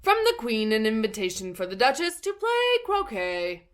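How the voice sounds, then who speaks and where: A woman voicing a stolid, indifferent frog footman from a storybook, in a drawn-out, unconcerned way. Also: on the high side, huge pitch swings. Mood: formal.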